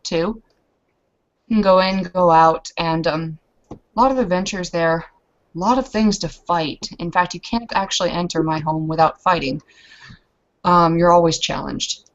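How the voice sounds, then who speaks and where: A woman roleplays a female werewolf warrior in a lowish voice. She rambles through tales of combat, hesitant and self-deprecating yet boastful. The sound is dull and lo-fi. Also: natural voice. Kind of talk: in-character tabletop roleplay storytelling.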